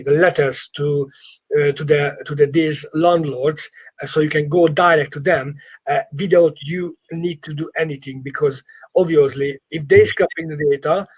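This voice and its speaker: A man with a husky, fairly high voice speaks non-native English as a property coach in a live session. He is emphatic and encouraging, and often repeats his phrases for stress. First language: not English. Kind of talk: informal live training session.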